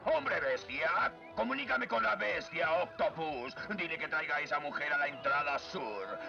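A man voicing a menacing, theatrical cartoon villain with a gravelly, sneering delivery and a Latin American accent. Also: a little high, lively intonation, moderate pace. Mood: gloating, commanding. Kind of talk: Latin American Spanish cartoon dub acting. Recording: dull lo-fi dub, loud music behind.

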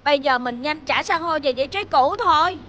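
Clever kid hero for animation female actor, high-pitched, earnest, takes charge, over music. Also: brisk, lightly acted. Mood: urgent. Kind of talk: animated children's series dubbing.

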